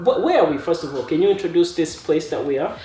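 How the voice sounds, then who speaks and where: Male host with a mellow tone, asks questions in stop-start bursts, recorded a little far off in a roomy space. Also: on the high side, expressive, fast talker, slight background noise. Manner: constant backchannel hums, repeated question starts. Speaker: interview host. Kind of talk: casual interview.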